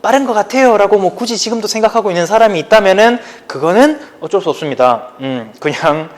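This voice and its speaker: A man's voice: high-pitched, expressive, fast. Chatty Daegu-accented male teacher. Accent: Gyeongsang (Daegu) Korean. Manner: chatty, informal, talks to students directly. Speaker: high school history teacher. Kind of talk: casual online lecture.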